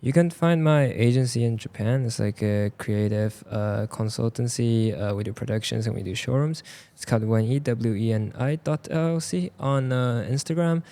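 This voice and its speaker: A man whose first language is Mandarin, with a Taiwanese Mandarin accent, chatting casually as a podcast guest in a clothing store, halting with many fillers and dropping in jokes. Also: mid-range voice, mellow. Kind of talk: casual chat.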